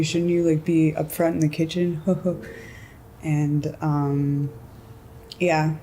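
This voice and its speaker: Low-voiced young woman, easygoing chat. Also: slight background noise. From California. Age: 20s. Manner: relaxed, giggly, lots of "like" and "um" fillers. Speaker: interviewee. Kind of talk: casual interview.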